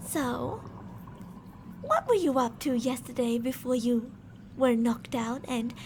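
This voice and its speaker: A woman's voice: fairly high pitch, animated, takes it slowly, a little hiss or hum. A woman playing teasing, possessive female mad scientists in an ASMR roleplay, coaxing and domineering with sweet menace. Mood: controlling, coaxing.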